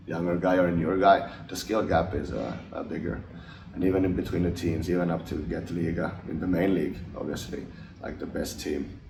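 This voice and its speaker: A young man from Finland, a hockey forward, answering interview questions in a relaxed, hesitant way with many fillers and restarts. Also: extremely low pitch, gravelly. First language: English and Finnish. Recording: roomy, distant mic, noisy.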